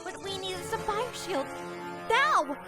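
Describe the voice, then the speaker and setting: A woman's voice: extremely high pitch, lively intonation, a little slow. A woman voicing a vain, dramatic teenage fairy princess in a cartoon fan dub, with a haughty, theatrical delivery. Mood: bossy alarm. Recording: loud music behind the voice.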